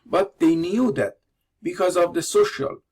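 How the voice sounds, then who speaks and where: Measured Iranian-born man lecturing. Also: sits high, darkish tone, slightly rough. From Iran. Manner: measured, deliberate delivery in non-native English. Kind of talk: prepared lecture with slides.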